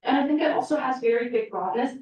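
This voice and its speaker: A woman's voice: sits high, quick pace, darkish tone. A young woman from San Diego, a student candidate giving an earnest prepared interview answer. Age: young adult. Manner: earnest, eager. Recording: echoey room, distant mic.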